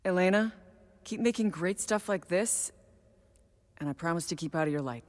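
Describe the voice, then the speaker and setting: Low-voiced military woman, voiced by an actress. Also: moderate pace, darkish tone, dry recording, studio quality, lightly acted. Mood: wry, subdued. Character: female military operative briefing squad on alien parasite missions. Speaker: mission briefer and incursion leader. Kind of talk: scripted video game mission briefing.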